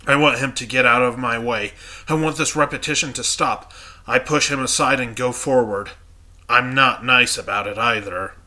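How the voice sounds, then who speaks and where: A man's voice: medium pitch, moderate pace, bright, forceful tone. A congested man reading the uneasy inner monologue of a young female student in a game.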